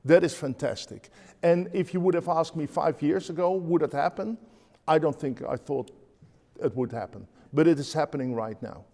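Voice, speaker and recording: A man's voice: a little high, normal speed, gravelly, warm tone, in a large hall. A man from the Netherlands with a Dutch accent, speaking on a conference panel, hesitant with many fillers and restarts.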